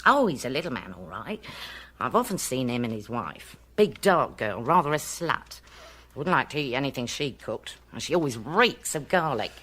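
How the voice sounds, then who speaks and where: Haughty low-voiced clairvoyant woman, swooping delivery. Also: big pitch swings, normal speed, fairly bright tone, slightly rough, exaggerated voice. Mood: scornful gossip. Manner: haughty, theatrical, mysterious whispers. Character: self-important female clairvoyant, superior, gossipy and scornful. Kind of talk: radio drama acting.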